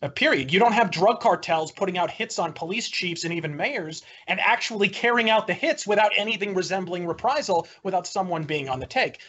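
Man, fairly high voice, political debater, florid opinionated phrasing, dull recording. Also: animated, brisk, few pauses, a bit bright. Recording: dull lo-fi audio.

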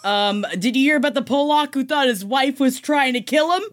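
A woman co-hosting a podcast in casual comedic chat, animated, joking and given to exclamations. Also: high voice, fairly quick. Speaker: podcast co-host.

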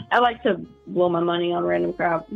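A woman's voice: lowish voice, moderate pace, creaky. A nineteen-year-old woman calling into a podcast, speaking earnestly and candidly with occasional giggles. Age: teens. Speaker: caller. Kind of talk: casual phone call. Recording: tinny phone line with faint music.

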